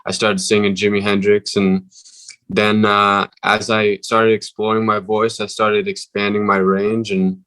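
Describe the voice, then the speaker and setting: A young male musician with a deep voice, answering interview questions haltingly with many fillers. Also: flat delivery, a bit bright, clean tone, no echo. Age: young adult. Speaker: musician guest.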